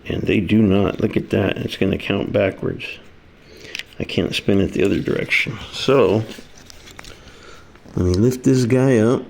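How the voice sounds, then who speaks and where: A man's voice: on the low side, fairly even intonation, unhurried, creaky, pauses often, darkish tone, slight background noise. A man giving a casual, hands-on repair demonstration, talking through each step in a folksy, informal way. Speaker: repair video presenter. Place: kitchen, indoors.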